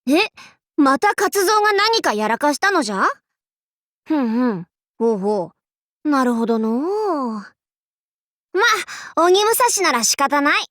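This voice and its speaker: A high, very animated young warlord girl for an anime game, recorded close and dry. She speaks in grand archaic lordly phrases, imperious and boastful, yet slips readily into playful clowning. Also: measured pace, long pauses, no echo, heavily acted. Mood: breezy, teasing. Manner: archaic lordly speech, haughty, abruptly switching to goofy. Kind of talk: Japanese anime game character voice lines.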